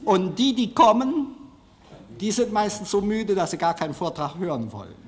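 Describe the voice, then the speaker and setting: A man giving a live public lecture in a hall, hesitant, halting and self-deprecating as he speaks. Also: high voice, expressive, crowd noise. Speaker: film director giving a lecture. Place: large formal auditorium, indoors.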